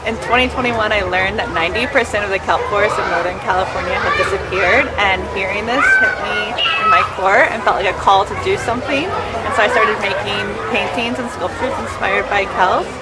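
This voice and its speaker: A man's voice: high voice, wildly swooping pitch, fairly quick, few pauses, very noisy. An oil painter and sculptor introducing his work at an outdoor art fair, enthusiastic and laid-back. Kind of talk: casual self-introduction. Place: outdoor art fair near a play area. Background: loud crowd and kids.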